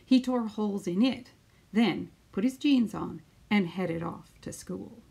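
A woman in her fifties, a teacher, speaking to pupils and reading a children's picture book aloud with deliberate, emphatic phrasing. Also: mid-range voice, gravelly, mellow, husky. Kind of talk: children's storybook read-aloud.

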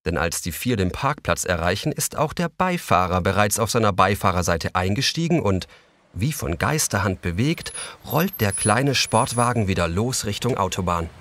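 A man's voice: on the low side, expressive, moderate pace, a bit bright, no room sound. A man playing the composed narrator of a children's audio story, reading scripted narration.

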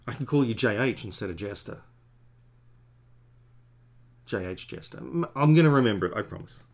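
A man's voice: medium pitch, lively intonation, normal speed. A man in his forties, a comic artist chatting in a rambling, self-deprecating way to stream viewers while he draws at home. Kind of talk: rambling casual chat while drawing. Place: home studio at a drawing desk.

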